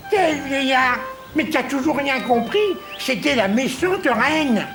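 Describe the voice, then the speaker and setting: A protective, scolding cartoon companion, blunt and emphatic. The voice is high and swoops widely, with music clearly playing behind it. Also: female voice, animated, slow. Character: bossy, protective friend of a fairy-tale princess. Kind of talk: dubbed cartoon acting.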